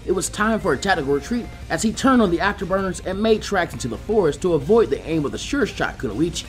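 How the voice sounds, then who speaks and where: A man narrates an action story in a high, lively voice that moves around a lot in pitch. He reads scripted prose as an audiobook, with faint music behind him. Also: fast. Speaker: fan fiction reader.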